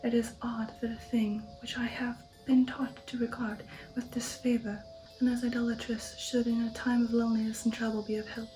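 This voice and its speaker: A woman speaking in a soft, breathy ASMR whisper, hushed and measured, as the host of a reading channel. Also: on the high side, level tone, music in the background, rough recording.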